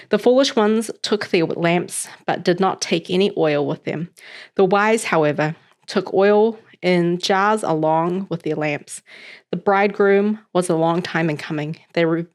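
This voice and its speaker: Chatty New Zealand woman, lowish voice. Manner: chatty and upbeat, with loose fillers. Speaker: host reading scripture. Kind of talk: casual chat, then scripture reading aloud.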